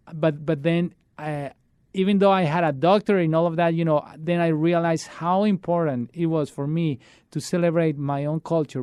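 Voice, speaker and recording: A man's voice: a little high, mellow, clear, resonant tone, dry recording, clean studio sound. A man, a children's musician being interviewed, talking earnestly and often restarting his sentences. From Colombia. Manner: earnest, halting with many restarts. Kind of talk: casual interview talk.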